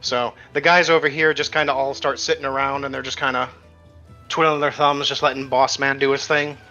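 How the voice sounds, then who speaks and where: A man's voice: mid-range voice, level tone, a little fast, sharp tone, muffled recording. A man speaking casually as game master, improvising descriptions for players in a tabletop game. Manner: casual, conversational. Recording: band-limited audio with music underneath. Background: music.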